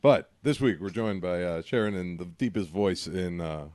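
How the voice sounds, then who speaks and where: Deep-voiced man, podcast host, joking banter, dry close sound. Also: animated, moderate pace, a little mellow, no echo. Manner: loose, joking, crude banter. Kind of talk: casual drinking chat.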